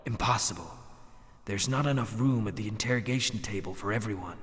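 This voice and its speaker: A cold, fatalistic judge of destiny voiced by a man speaks in a lowish voice. The delivery is formal, solemn and cryptic, as theatrical game dialogue echoing in a big hall. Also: a little fast, a bit bright, lightly acted. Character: cold, fatalistic male arbiter of law and destiny. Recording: long reverberant hall.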